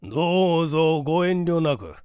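Give me a man reading a polite, unhurried mystery client. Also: fairly high pitch, lively intonation, darkish tone, smooth, no room sound, studio quality. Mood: measured, polite. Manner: polite, measured storytelling. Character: polite male client recounting a family scandal.

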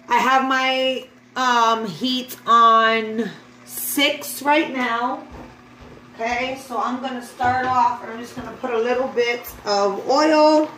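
A high-voiced woman talks viewers through her cooking in an informal, chatty way. She takes her time, speaking off the cuff in a home kitchen. The phone recording is echoey, with clear background noise. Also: bright, forceful tone. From the Bronx, New York, USA. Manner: informal, addressing viewers as guys, unscripted. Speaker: home cook vlogger. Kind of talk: casual cooking demonstration, chatty.

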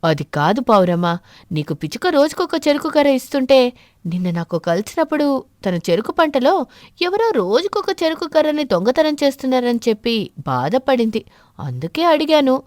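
A woman voicing an earnest, puzzled crow farmer in an animated fable, troubled and questioning. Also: sits high, moderate pace, no room sound, studio quality.

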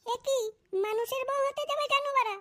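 A woman's voice: extremely high pitch, fairly even intonation, a little fast, very noisy. A woman voicing a blunt, foul-mouthed married adult village woman in a comic cartoon. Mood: matter-of-fact.

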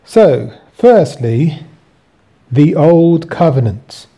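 A man hosting and reading from his own book with commentary, in deliberate, halting phrases. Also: on the high side, sing-song, mellow.

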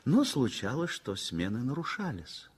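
An actor narrating a tender 1960s radio drama as a reflective storyteller, with measured, literary delivery and pauses. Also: male voice, mid-range voice, big pitch swings, slightly rough.

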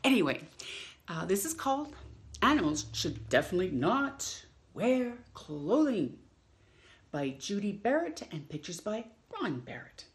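A middle-aged woman hosting storytime, reading to children in an expressive, drawn-out way with playful emphasis. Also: medium pitch. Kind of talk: reading a picture book aloud to children. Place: indoors in a home room.

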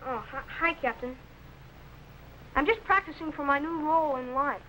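A pompous young teenage boy in a vintage TV space serial. His voice is high and bright, carefully enunciated and full of self-importance, with faint old film noise. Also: moderate pace, rough recording. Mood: airy, self-important. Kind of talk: 1950s children's TV sci-fi acting.

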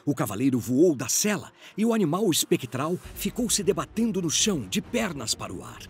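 A man's voice: fairly high pitch, very animated, fairly quick. A man with a Brazilian accent giving dramatic scripted narration of a fantasy audio drama. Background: music.

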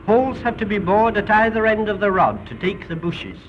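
A man's voice: high-pitched, a little fast, dull audio, a little hiss or hum. A British man giving formal, precise scripted narration in the theatrical manner of a 1930s educational film, on an old film soundtrack. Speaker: documentary narrator.

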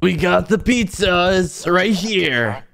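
A man's voice: high-pitched, lively intonation, slow, vocal fry, fairly bright tone, a little hiss or hum, exaggerated voice. A man voicing an earnest young stick-figure cook who leads his friends in an amateur cartoon dub, giving instructions. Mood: eager, instructing.

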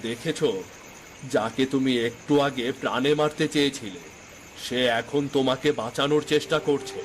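A man's voice: medium pitch, normal speed, a little hiss or hum, natural voice. A man playing an emphatic, melodramatic village man in a cartoon folk tale. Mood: angry, reproachful. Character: stern, scolding village husband and pleading chief in a folk tale. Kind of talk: Bengali cartoon fairy-tale voice acting. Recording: music behind the voice. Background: background music.

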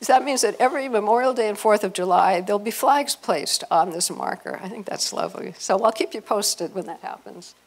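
An older woman presenting an informal family-history slide talk, halting and often restarting her phrases. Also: medium pitch, gravelly. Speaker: presenter, speaker at a convention. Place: hotel conference room, before a seated audience.

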